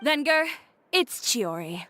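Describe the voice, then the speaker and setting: A woman's voice: fairly high pitch, sing-song, slow pace, a bit bright, full character voice. A woman playing a self-assured female fashion designer in a video game, blunt and businesslike. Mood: cool, blunt. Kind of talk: scripted video game voice acting.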